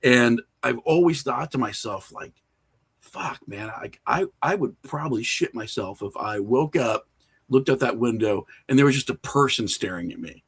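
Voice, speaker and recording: A man's voice: mid-range voice, animated, moderate pace, vocal fry, slightly rough. Candid middle-aged man chatting casually. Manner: candid, self-mocking, profane. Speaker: podcast guest, horror filmmaker and writer. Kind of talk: casual interview chat.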